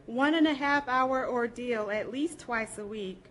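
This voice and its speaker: A woman giving a dramatic first-person literary reading aloud, with an expressive, theatrical delivery. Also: a little high, measured pace. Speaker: reader at a library program.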